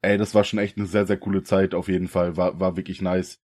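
A young man from the co-hosting a casual podcast, slangy and relaxed, often laughing. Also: deep voice, fairly quick. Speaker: podcast co-host. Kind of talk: casual chat.

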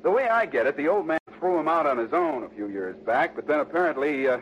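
A man's voice: fairly high pitch, lively intonation, fast, close to an everyday voice. Guy playing hedging american insurance salesman, chatty and unsure, old radio drama, dull hissy sound. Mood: explanatory. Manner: hesitant, hedging, colloquial. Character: hesitant, fair-minded, chatty male insurance salesman. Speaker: supporting actor in radio detective drama. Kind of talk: early 1960s American radio drama acting. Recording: muffled, noisy vintage radio recording.

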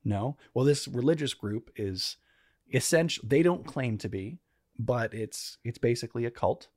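A man's voice: mid-range voice, animated, normal speed, a little mellow, no room sound, clean studio sound. A young man co-hosting a true crime podcast, chatting casually in an easygoing, joking way. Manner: easygoing, joking, leads the story. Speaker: podcast co-host. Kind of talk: casual chat.

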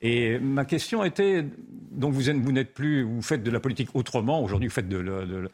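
A man's voice: mid-range voice, a little fast, darkish tone. Man, interview host, mid-range voice, courteous, restarts often. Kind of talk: studio interview questions.

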